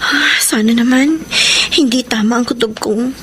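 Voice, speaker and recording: Actress as an anxious, suspicious high-voiced woman. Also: moderate pace, slight background noise, natural voice. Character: anxious, suspicious woman searching for her missing lover. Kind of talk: Filipino radio drama acting with whispered inner monologue.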